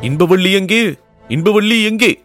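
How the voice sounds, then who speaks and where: A man's voice: a little high, animated, moderate pace, faint music behind, voice pushed up, close to an everyday voice. A man voicing a newly crowned emperor, eager, tender and commanding, in stately declamation for a historical audiobook. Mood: searching.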